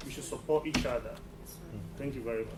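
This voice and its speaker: A man's voice: mid-range voice, fast. Earnest man, distant in an echoey hall. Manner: earnest, repeats himself, restarts phrases. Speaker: audience member at a press conference. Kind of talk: spontaneous public comment. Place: press conference hall. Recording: distant mic in an echoey hall with background noise.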